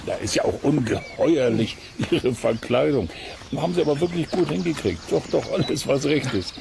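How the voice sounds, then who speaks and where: A man playing a curious, excitable, good-humoured explorer in a children's audio play, chattily exclaiming and muttering to himself. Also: medium pitch, moderate pace, creaky, background noise. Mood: amused. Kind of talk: German children's radio play acting.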